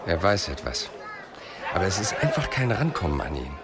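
A man playing an intense, quietly insistent police investigator with deliberate, measured speech in a radio crime drama. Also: low voice, big pitch swings, vocal fry, loud music behind the voice, a loud crowd, full character voice. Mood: fervent, musing.

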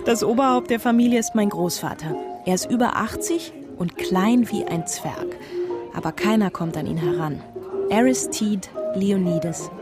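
An actress plays a candid young woman of a wealthy, eccentric family in a radio drama. Her voice sits at an average female pitch, over very prominent music. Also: normal speed, natural voice. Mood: admiring. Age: young adult. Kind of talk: radio play dialogue.

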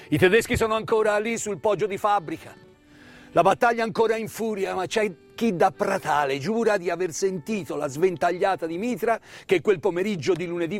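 A man delivers a theatrical narrative monologue as a stage storyteller, solemn and dramatic, with repeated words and weighty pauses. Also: high-pitched. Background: live musical accompaniment.

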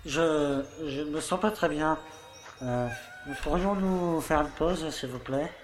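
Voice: mid-range voice, slow, reverberant, background noise, close to an everyday voice. An actor playing a flustered, evasive male mayor who is pompous, stammering and defensive, in an audio drama. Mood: uneasy. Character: flustered, evasive small-town mayor denying accusations, male. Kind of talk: dramatic audio fiction acting.

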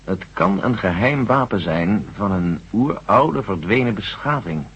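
An actor playing a determined army general in a radio drama, assertive and reasonable, probing with questions. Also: male voice, on the low side, animated, a little slow, a bit bright, husky, exaggerated voice. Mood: speculating. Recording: dull lo-fi old radio recording.

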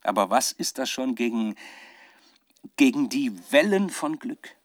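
A man's voice: mid-range voice, sing-song, no room sound, studio quality. An actor playing a male scientist who keeps a troubled, fervent spoken research diary in a radio drama, often searching for words. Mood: elated, awed. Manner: halting, searching for words, dramatic shifts from musing to fervour. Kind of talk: radio drama monologue as dictated audio diary.

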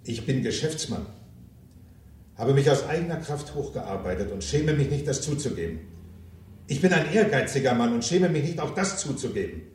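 A man's voice: mid-range voice, sing-song, moderate pace, darkish tone, distant mic, slight background noise, close to an everyday voice. An actor in a German crime radio drama playing a cynical, ambitious self-made businessman, blunt and coarse, with theatrical emphasis. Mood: scheming, determined. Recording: echoey room, off the microphone.

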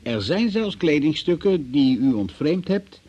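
An actor playing a stern, formal examining magistrate who questions in measured officialese, in a Dutch radio drama. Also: male voice, fairly high pitch, big pitch swings, dark tone, husky, muffled recording, exaggerated voice. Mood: stern, accusing.

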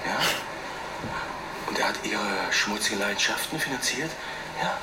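A relentless male interrogator in a radio drama, played by an actor, goading and cutting, his pitch leaping about. The voice is distant and echoing, buried in loud noise. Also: expressive, moderate pace, natural voice. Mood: insistent, heated. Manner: insistent, goading, cutting questions. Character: relentless, taunting interrogator pressing for a yes. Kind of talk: intense radio drama confrontation. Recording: very noisy, distant, echoing ambience.

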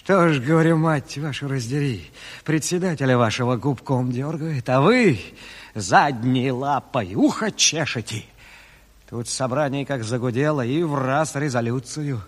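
An actor playing a blunt, loyal, salty old-school sailor in a radio drama, talking folksy and colloquial. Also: male voice, medium pitch, animated, unhurried. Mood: animated, boastful. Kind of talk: theatrical radio drama acting. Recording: reverberant 1970s radio play.

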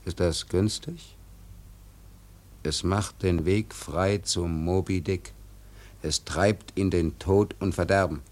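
An actor playing an honest, brooding first mate in turmoil, thinking aloud gravely and haltingly in a radio drama. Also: male voice, low voice, fairly even intonation, darkish tone. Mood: bitter, brooding. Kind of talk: literary radio drama monologue.

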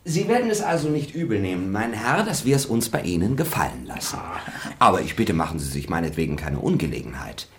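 A man's voice: low-pitched, big pitch swings, quick pace, a little hiss or hum. A man acting an impudent, grumbling servant in a radio play. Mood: cheeky. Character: cheeky, grumbling male servant.

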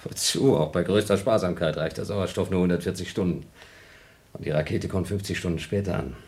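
A man playing an impatient, excitable astronaut trapped on a moon station, in an urgent radio play style. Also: deep voice, fairly quick, close to an everyday voice. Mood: restless, irritated.

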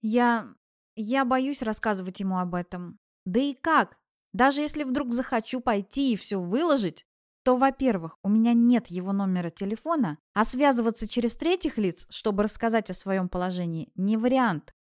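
A woman's voice: fairly high pitch, moderate pace, no room sound, studio quality, lightly acted. A woman voicing an anxious young female student in an audiobook, confiding her worries earnestly and vulnerably. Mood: fearful, hesitant. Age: young adult. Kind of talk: audiobook character voice.

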